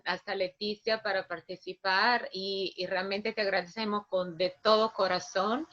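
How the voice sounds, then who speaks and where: A woman who speaks as a non-native, hosting a webinar with spontaneous, halting remarks as she searches for words. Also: medium pitch, fairly bright tone. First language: not Spanish. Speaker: webinar host. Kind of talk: spontaneous closing remarks and thanks. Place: online video webinar. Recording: dull lo-fi online call.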